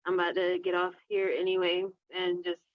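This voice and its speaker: A woman's voice: on the low side, monotone, a little fast, no room sound, lo-fi sound. A woman chatting casually as a podcast host, hesitant and often repeating short phrases. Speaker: host and reader of a storytelling podcast. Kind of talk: casual chat.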